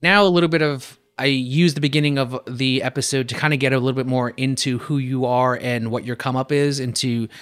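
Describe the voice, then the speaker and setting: A man hosting a design podcast, reading a scripted introduction and then asking a guest questions in a lively conversational way. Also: medium pitch, fairly quick, few pauses. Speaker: podcast host. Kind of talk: podcast interview.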